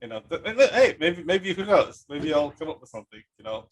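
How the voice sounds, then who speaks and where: A man hosting a podcast in casual conversation, talking in a halting, rambling, self-deprecating way. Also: fairly high pitch, very animated, background noise. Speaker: podcast host. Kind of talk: casual interview chat.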